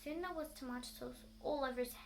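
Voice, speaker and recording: A woman reading a children's story aloud, somewhat halting and often going back over words. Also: sits high, creaky, a little mellow, a little hiss or hum. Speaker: storybook reader.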